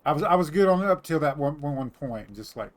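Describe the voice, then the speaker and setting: A man's voice: fairly high pitch, lively intonation, quick pace, no echo. A man in casual, informal chat while playing a game, with loose colloquial phrasing, on a slightly noisy mic. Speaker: gaming channel co-player. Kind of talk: casual chat during gameplay. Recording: faint background noise on mic.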